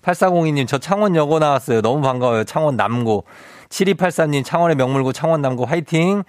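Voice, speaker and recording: A man's voice: a little high, animated, fast talker, no echo, clean studio sound. A male radio DJ hosting a quiz segment in a playful, teasing way. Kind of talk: casual radio show hosting. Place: radio studio. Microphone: studio microphone.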